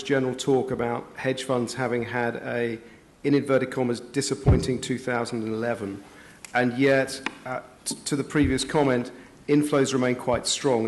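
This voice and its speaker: A man speaking as a panelist at a finance conference, with a loud voice and a measured, articulate way of talking. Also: mid-range voice. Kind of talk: panel discussion.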